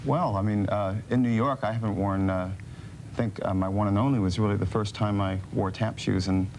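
A man's voice: on the low side, fairly even intonation, darkish tone, slight background noise, low-quality recording. Hesitant male Broadway dancer guest. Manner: hesitant, halting, full of uh. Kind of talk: studio interview. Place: TV studio set, seated on a couch.

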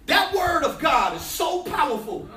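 High-voiced New York preacher, loud and rousing, heard in a roomy hall from a distance. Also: male voice, big pitch swings, measured pace, music in the background. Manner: shouting, preaching with call-and-response. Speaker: pastor. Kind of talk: energetic sermon. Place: church stage, large room. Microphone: handheld mic. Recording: echoey hall from far off.

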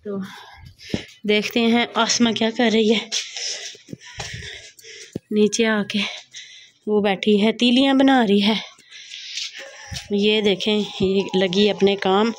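A woman's voice: mid-range voice, fairly even intonation, slow, long pauses. A woman, chattily narrating her household chores for a home vlog on a handheld phone. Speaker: vlogger. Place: small house courtyard and rooms, indoors and out. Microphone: phone held at arm's length.

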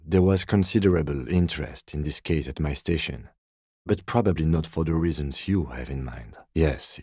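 An actor playing a composed, dry-witted middle-aged police detective in a video game, speaking in a measured, formal, deadpan way. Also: male voice, extremely low pitch, breathy edge, no echo. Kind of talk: video game character dialogue.

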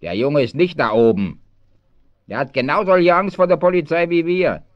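An actor playing a bossy, scornful crook in a children's radio play. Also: male voice, on the high side, moderate pace, dry recording, natural voice. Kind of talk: 1970s German children's radio play acting. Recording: dry, slightly dull 1970s studio recording.

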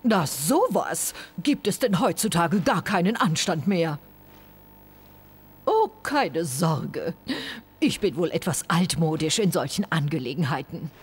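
An actress playing a prim, sweet, fussy elderly saleswoman with a husky voice in a video game. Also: low voice, lively intonation, measured pace, exaggerated voice. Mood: fussy, genteel. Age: older.

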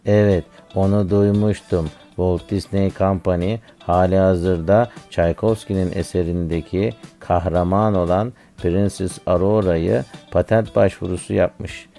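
A man's voice: sits low, fairly even intonation, unhurried, creaky, hardly any pauses, mellow, faint music behind. A man voicing an earnest schoolboy pupil who recites facts in a scripted educational cartoon. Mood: earnest, informative.

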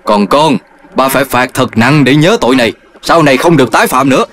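A man plays a stern cartoon patriarch with a middling male pitch. He lectures emphatically and pompously, stressing his orders like someone used to being obeyed. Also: fairly quick, fairly bright tone, natural voice. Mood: stern scolding. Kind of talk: cartoon voice acting.

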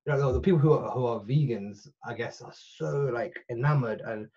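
A man in his forties talks over a video call from a small room at home, rambling and restarting as he gathers his thoughts. His voice is husky, and the call audio comes through dull and muffled. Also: mid-range voice, moderate pace, a little mellow. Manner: rambling, full of restarts and fillers. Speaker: podcast guest. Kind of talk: casual podcast chat.

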